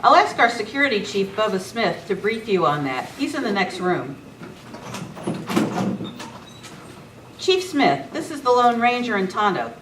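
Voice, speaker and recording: Lady as gracious college president, animated, formal, echoey distant room. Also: medium pitch, normal speed, natural voice. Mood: polite, concerned. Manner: formal, polite. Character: gracious, concerned female university president. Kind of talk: amateur old-time radio script reading. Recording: roomy, distant camera mic, faint noise.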